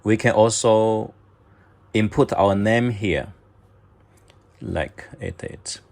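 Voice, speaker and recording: A man narrating step-by-step instructions in halting, short clipped phrases, as a product demonstrator. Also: on the low side, vocal fry, a little mellow. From China.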